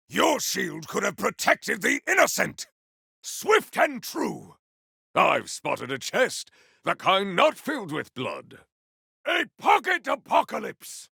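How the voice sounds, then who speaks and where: Game role: bombastic, honorable cyborg warrior, slow declamatory delivery, often shouted, raspy edge. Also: male voice, high-pitched, dry recording, clean studio sound, heavily acted. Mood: grave, measured. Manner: theatrical, declamatory, grandiose. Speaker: video game voice actor. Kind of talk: video game combat barks.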